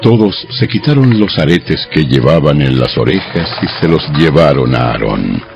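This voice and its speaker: A man narrating a dramatized audio Bible in a scripted storytelling style, with a gravelly voice. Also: a bass voice, sing-song, normal speed, dull audio. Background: music.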